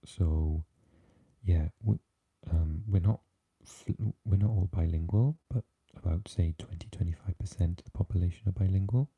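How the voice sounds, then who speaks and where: A man with a Swansea Welsh accent, chatting softly with live viewers and often dropping into an unvoiced whisper. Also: normal speed, husky, narrow, tinny audio. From Wales. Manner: mixes unvoiced whispering with low, deep speaking. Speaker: ASMR live streamer reading chat. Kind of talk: soft-spoken live chat with viewers.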